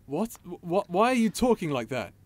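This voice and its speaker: Man acting a lively, hesitant young pirate captain. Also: sits high, moderate pace. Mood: hurt, incredulous. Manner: hesitant, rambling, informal. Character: nervous, boyish pirate captain, informal and earnest. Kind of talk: intimate ASMR audio roleplay drama.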